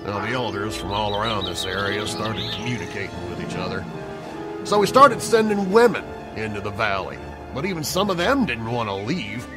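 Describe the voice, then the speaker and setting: An actor playing a gruff, bitter older villager telling stories in a folksy drawl in a sci-fi audio drama. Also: male voice, on the low side, sing-song, moderate pace, over music. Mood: grim, bitter. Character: gruff, garrulous older male alien villager, bitter and hard.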